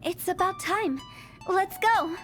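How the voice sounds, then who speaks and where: Eccentric young female funeral director. High voice, theatrical storyteller, cheeky anime game style, music underneath. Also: takes it slowly, fairly bright tone, full character voice. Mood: playful. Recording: background music behind the voice.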